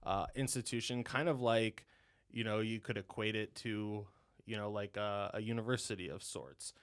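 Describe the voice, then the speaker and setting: A man in his twenties from Ohio, a podcast guest chatting casually, rambling with lots of fillers and quick laughs. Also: lowish voice, fairly bright tone, clear, resonant tone. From Ohio, USA. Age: 20s. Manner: rambling, heavy on "you know" and "um", laughs often. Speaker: podcast guest, dental student. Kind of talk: casual interview chat.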